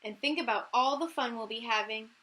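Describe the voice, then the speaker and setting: A woman voicing a vain, horrid young stepsister from a storybook, mocking and teasing in a shrill, exclaiming way. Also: a little fast, bright, forceful tone. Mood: smug.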